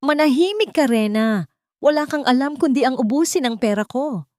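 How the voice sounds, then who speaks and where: A woman's voice: high voice, lively intonation, moderate pace, darkish tone, no room sound, studio quality, voice pushed up. A woman voicing a furious, bitter rich son who feels betrayed, in an audio story drama.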